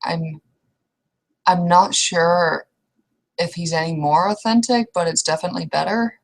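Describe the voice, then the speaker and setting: A woman speaking casually as a player in a roleplay session, hesitant and halting, often trailing off. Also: on the low side, steady tone, gravelly. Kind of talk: casual in-character roleplay talk.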